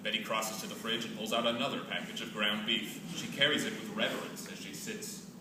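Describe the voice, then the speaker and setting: A man's voice: fairly low pitch, fairly even intonation, a little fast. A man reading scripted stage directions as narrator at a staged play reading. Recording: echoey hall from far off, noisy.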